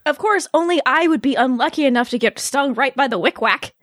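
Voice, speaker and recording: A woman's voice: high voice, animated, brisk, a bit bright, dry recording, studio quality. A woman voicing a flustered, pleading young female Valkyrie in a theatrical fan fiction reading. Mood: sheepish, babbling. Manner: exclamatory, theatrical.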